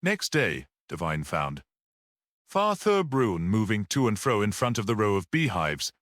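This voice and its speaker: Low-voiced man narrating with lively intonation. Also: measured pace, no room sound, studio quality. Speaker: audiobook narrator. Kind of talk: expressive literary story narration.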